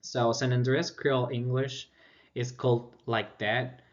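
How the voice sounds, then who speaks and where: A man's voice: medium pitch, flat delivery, slow pace. Hesitant Colombian man, dull lo-fi recording. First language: Spanish. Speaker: master's student presenter. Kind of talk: informal academic video presentation. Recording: dull lo-fi audio.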